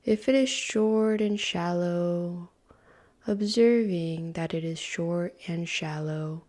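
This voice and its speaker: A woman leads a guided meditation in a calm, unhurried way, with a soft, breathy voice that slips into near-whispers and long pauses between phrases. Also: fairly low pitch.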